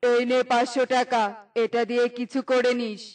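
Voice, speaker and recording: Woman as a rich eagle boss, high voice, boastful, scornful, cartoon bird, music behind. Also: level tone, normal speed, darkish tone, lightly acted. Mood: angry, scornful. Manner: boastful, scornful, dismissive of the poor. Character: arrogant rich eagle village chief, doting father, bully. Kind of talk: cartoon voice acting.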